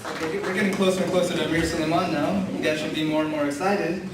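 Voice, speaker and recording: A man emceeing an open mic night, announcing the next act quickly and upbeat. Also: a little high, steady tone. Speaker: event emcee. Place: university event hall. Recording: echoey hall recorded from far off, loud crowd.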